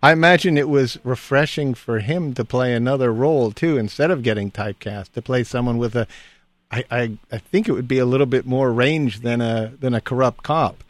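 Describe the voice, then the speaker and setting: A man's voice: medium pitch, a little fast, no room sound. A man hosting a radio interview, asking easygoing questions with frequent fillers.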